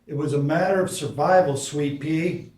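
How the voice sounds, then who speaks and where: An actor reading the part of a gentle, loving father at a screenplay table read. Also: on the high side, moderate pace, recorded from a distance, lightly acted. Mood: earnest. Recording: echoey room, away from the mic.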